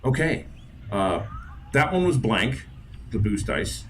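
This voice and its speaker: Male player, low and animated, eager and jokey, faint hiss. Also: slow. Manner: lively and eager, jokes along with the group. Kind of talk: casual tabletop play.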